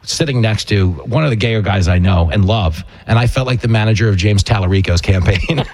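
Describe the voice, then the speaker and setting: A man hosting a comedic talk radio show, bantering in a jokey, animated way with a raspy voice. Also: on the low side, fairly quick. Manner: jokey, animated, slipping into mock dialogue.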